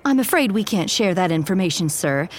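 An actress playing a polite, discreet hotel receptionist, formal and courteous, in a period noir audio drama. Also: female voice, on the low side, animated, fairly quick. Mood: polite, guarded. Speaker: actor in scripted audio drama.

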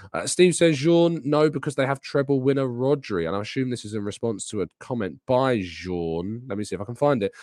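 A man with a raspy, hoarse voice from a sore throat, hosting a live show and casually answering chat questions. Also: lowish voice, no room sound, clean studio sound. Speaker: podcast host. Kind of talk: live transfer news and chat Q&A.

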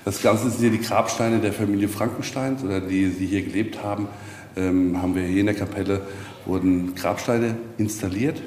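A man explaining as a tour guide, in a slightly halting, informative way. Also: on the low side, fairly even intonation, a little mellow. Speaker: castle leaseholder, tour guide. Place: small castle chapel. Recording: echoey stone room, faint ambient noise.

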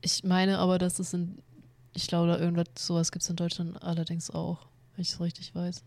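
Woman, lower voice, easygoing chat, hedges a lot, dry close recording. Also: completely flat delivery, brisk, vocal fry, a little mellow, no room sound. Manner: easygoing listener, musing aloud with hedges. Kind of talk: casual chat.

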